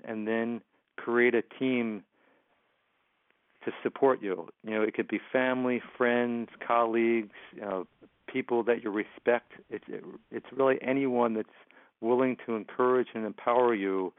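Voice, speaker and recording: A man's voice: medium pitch, no echo. A man with a stutter, a motivational speaker answering interview questions, often repeating words and sounds. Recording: phone line, dry.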